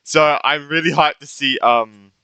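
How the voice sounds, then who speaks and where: A young man giving casual live reactions to a game as a streamer, excitable, rambling and prone to swearing. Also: fairly high pitch, measured pace, clear, resonant tone, dry recording, low-quality recording. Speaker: gaming streamer.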